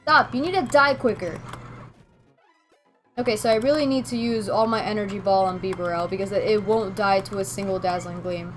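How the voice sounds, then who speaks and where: A woman's voice: medium pitch, a little fast. A woman from Venezuela livestreaming a game, chatting casually and rambling as she answers viewers. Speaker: game streamer. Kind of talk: casual livestream chat while playing. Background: music.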